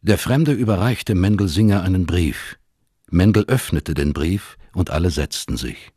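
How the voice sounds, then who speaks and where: An actor as a solemn storyteller, narrating a literary radio drama in measured phrases. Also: male voice, low voice, animated, dark tone, slightly rough, dry recording, clean studio sound. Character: sombre storyteller of a family tale.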